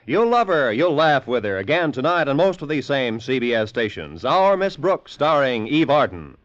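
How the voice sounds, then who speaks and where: A man working as a radio announcer, giving a bright promotional break. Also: mid-range voice, very animated, normal speed. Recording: dull old radio broadcast.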